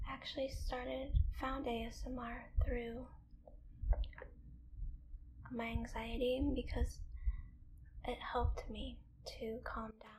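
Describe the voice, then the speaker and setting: A woman delivers gentle ASMR instruction in a breathy, whispery voice. It sits high and crackles with fry, pausing hesitantly between thoughts. Noticeable noise runs behind her. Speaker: ASMR video creator. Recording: clearly audible background noise.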